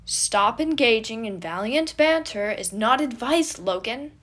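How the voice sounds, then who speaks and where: A woman's voice: fairly high pitch, expressive, measured pace, a bit bright. A woman voicing a bold, theatrical teenage prince with a grandiose, exclamatory way of talking in a storybook reading. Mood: defensive, proud. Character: bold theatrical young prince, boastful and dramatic.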